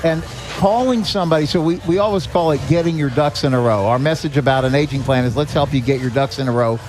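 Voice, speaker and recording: A man's voice: fairly high pitch, fast, a little mellow. An older American man talking earnestly and conversationally as a podcast guest, telling anecdotes and acting out bits of dialogue. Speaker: guest, executive director of a senior help organization. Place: live podcast at a restaurant. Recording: music behind the talk. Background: music.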